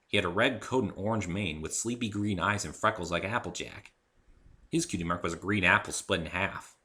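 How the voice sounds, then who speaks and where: A man's voice: low voice, sharp tone. A man narrating a first-person story in a dramatic reading style. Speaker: author reading own story.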